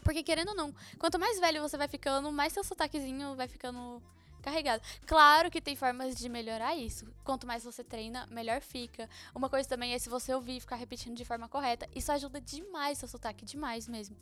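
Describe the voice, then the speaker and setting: Fast-talking young Brazilian woman, casual chat. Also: high voice, fairly even intonation, pushed and bright, faint music behind. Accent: Brazilian Portuguese. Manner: chatty, informal slang, laughs often.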